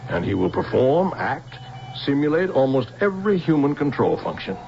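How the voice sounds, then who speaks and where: An American actor playing a protective, fatherly older scientist in a radio drama, speaking gently and earnestly with hesitant pauses. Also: a little high, sing-song, creaky. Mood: earnest, explaining. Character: protective older scientist, tender fatherly guardian to a young woman. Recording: dull old radio recording with music.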